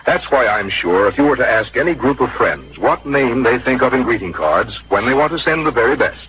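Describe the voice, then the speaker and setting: An American man working as a radio announcer, reading a scripted 1950s sponsor message in a polished, measured, earnest way. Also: medium pitch, lively intonation, a little hiss or hum. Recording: muffled old radio broadcast.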